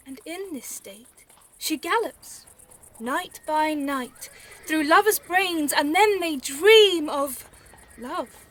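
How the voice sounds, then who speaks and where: Woman acting a slow, bright-voiced mercurial Shakespearean dreamer. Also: sits high, lively intonation, full character voice. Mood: whimsical. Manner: theatrical, drawn-out, relishing the verse. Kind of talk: Shakespearean verse audio drama. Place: home recording. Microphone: phone.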